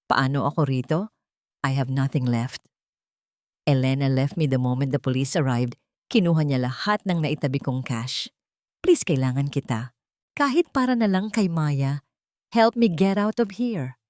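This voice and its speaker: A woman's voice: rumbling low, darkish tone, no room sound, studio quality, voice pushed down. A woman voicing a broken, desperate husband who pleads in a defeated manner in an audio story.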